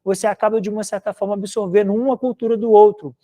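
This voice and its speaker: A man's voice: sits high, little pitch movement, quick pace, warm tone. A man from Minas Gerais with a mineiro accent, lecturing a philosophy class in a loose, conversational way full of tag questions. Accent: Minas Gerais Portuguese. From Minas Gerais, Brazil. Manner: rambling, conversational, frequent "né?" tags. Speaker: philosophy teacher. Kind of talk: recorded online class lecture.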